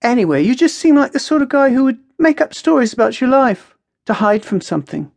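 A woman narrator voicing a lively, teasing, earnest young woman with a lyrical, expressive way of talking in a story. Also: on the high side, a little fast, creaky, dry recording, voice pushed up, exaggerated voice. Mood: playful, probing.

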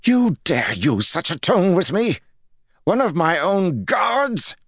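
A man's voice: medium pitch, normal speed, no echo, clean studio sound, voice pushed up, lightly acted. A man voicing a raging, unhinged middle-aged lord who barks threats and sputters with anger in a storybook. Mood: furious.

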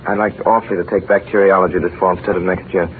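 An actor playing an earnest, ambitious male scientist in a 1930s radio drama, solemn and measured, on a narrow old recording. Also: low voice, little pitch movement, slight background noise, natural voice. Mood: eager, pleading. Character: earnest, ambitious male scientist recalling his student years. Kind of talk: 1930s radio drama acting, dramatic first-person narration.

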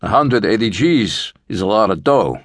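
A streetwise, middle-aged money lender with menace under a businesslike manner, acted out by a male narrator. He lays out terms in a measured way, with lively pitch, recorded close and dry. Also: dry recording. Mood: cool, businesslike. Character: calculating middle-aged male loan shark, cool and menacing.

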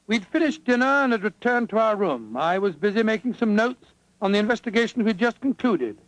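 An avuncular old English doctor telling his memoirs in a vintage radio drama. His voice sits high for a man, and he fusses and hesitates kindly as he goes. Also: lively intonation, fairly quick, poor audio. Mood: genial, reminiscing. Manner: avuncular, fussy, hemming and hawing. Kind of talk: 1940s radio drama acting and storytelling. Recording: vintage 1940s radio broadcast recording.

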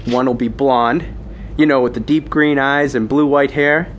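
A man playing a brash, excitable, lecherous police agent in a science fiction radio drama. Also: medium pitch, moderate pace, highs cut off, slight background noise, close to an everyday voice. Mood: cocky teasing. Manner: brash, teasing, animated.

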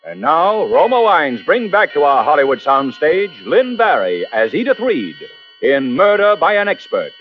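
High-pitched grand American male announcer. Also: sing-song, normal speed. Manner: grand, formal. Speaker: radio announcer. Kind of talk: 1940s dramatic programme introduction. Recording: dull old radio recording with music.

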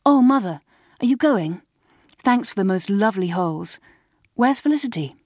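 A woman's voice: mid-range voice, lively intonation, brisk, dry recording, clean studio sound. A woman voicing a self-assured, chatty schoolgirl of about sixteen in a storybook. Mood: affectionate. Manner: chatty, slightly superior.

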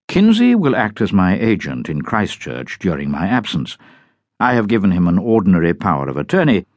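A man narrating an audiobook of a journal, reading in a measured, literary and expressive manner. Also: sits low, no room sound. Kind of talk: scripted dramatic reading of a journal.

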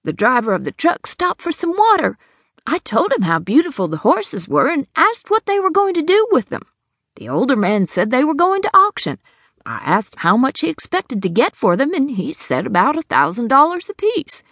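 Brief: a woman doing a frantic, cheated housewife in a storybook, high and trembling, voice leaping all over. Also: huge pitch swings, normal speed, fairly bright tone, no room sound, studio quality, full character voice. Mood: worried. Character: distraught middle-aged woman cheated of her savings.

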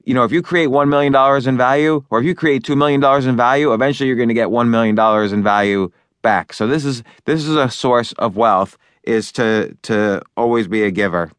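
A man's voice: mid-range voice, brisk, few pauses, a bit bright, no echo. A man narrating his own book in a loose, conversational way, with fillers and restarts as he talks over each point. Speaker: author and narrator.

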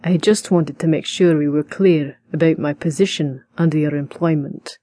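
A woman voicing an intense, serious Scottish bodyguard in an audiobook, speaking slowly in a deep, hushed voice. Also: fairly even intonation. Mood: serious, intimate. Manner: slow, deliberate.

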